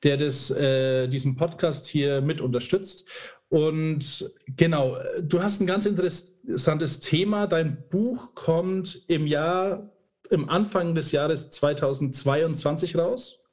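Guy podcast host, kinda high voice, hesitant and jokey, relaxed chatty interview. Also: slow. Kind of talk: casual conversational interview.

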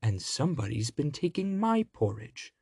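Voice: medium pitch, sing-song, moderate pace, gravelly, darkish tone, slightly rough, no echo, clean studio sound, full character voice. A storyteller voicing a middle-sized, proper and concerned mother bear from a children's storybook. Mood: put out.

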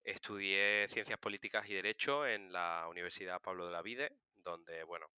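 Very bright, forceful-voiced man from Seville. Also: low-pitched, normal speed, clear, resonant tone, no room sound, studio quality. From Seville, Spain. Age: 30s. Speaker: interview guest, political science communicator. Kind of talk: casual conversational storytelling.

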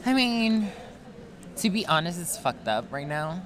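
A man's voice: high voice, sing-song, measured pace, crowd noise. A man in his twenties talking frankly and laughing often as a podcast guest outdoors in a park. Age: 20s. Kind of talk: casual podcast chat.

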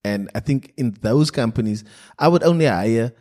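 A man's voice: medium pitch, expressive, moderate pace, darkish tone. A South African man whose first language is Afrikaans, co-hosting a podcast in casual chat and speaking bluntly with frequent swearing. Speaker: podcast co-host.

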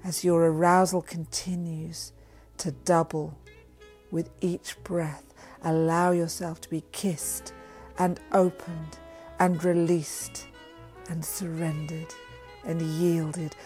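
A woman reading a sensual guided meditation in a breathy voice that often drops into a whisper. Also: fairly low pitch, little pitch movement, slow pace. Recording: voice over soft background music. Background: music.

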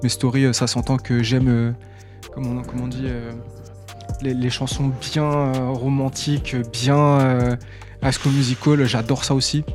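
A man talks casually as an interview guest. His mid-pitched voice stays fairly even, and his phrasing is slangy and self-correcting. Soft music sits faintly behind. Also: moderate pace. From born in Qatar, lived in Tunisia, grew up in France from age six. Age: 20s. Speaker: rap artist being interviewed.

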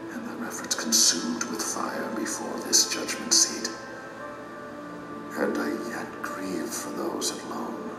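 A humbled old teacher turned lowly plumber, confessing his failures with solemn grief. His voice is very low and rings through a big echoey hall over music, in the manner of an allegorical audio drama. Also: creaky, recorded from a distance, exaggerated voice.

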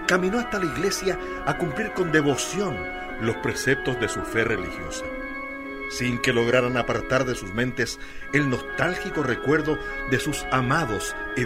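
A man's voice: medium pitch, lightly acted. A man narrating a radio drama as a solemn, florid storyteller. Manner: solemn, literary. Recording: loud music under narration.

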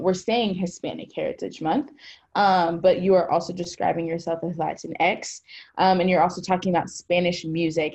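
Woman host, lowish gravelly voice, measured questions. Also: darkish tone. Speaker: interviewer. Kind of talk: interview questions.

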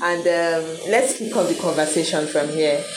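A young woman with a Nigerian accent hosts a casual podcast chat, steering it with easy, friendly questions. Her voice sits on the low side for a woman. The room adds a clear echo. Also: moderate pace, background noise. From Eastern Nigeria. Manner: leads the talk with questions, friendly. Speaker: podcast host. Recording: roomy echo.

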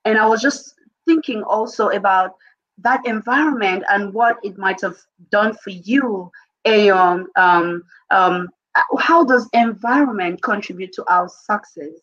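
A woman's voice: medium pitch, unhurried, fairly bright tone. Host: middle-aged woman, encouraging and affirming, slightly dull, lo-fi sound. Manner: encouraging, frequent affirming murmurs. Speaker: podcast host. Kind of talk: casual conversation.

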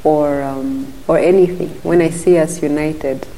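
A middle-aged Ugandan woman, a guest in an interview, talking thoughtfully with frequent restarts and soft murmurs of agreement. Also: deep voice, fairly even intonation, a little slow, big-room sound, a little hiss or hum, rough recording. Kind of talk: casual interview talk.

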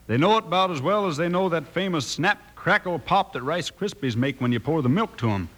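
Lively folksy radio cowboy. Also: male voice, on the high side, brisk. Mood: folksy, genial. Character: folksy cowboy explaining range lore to announcer. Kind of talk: old-time radio sponsor skit.

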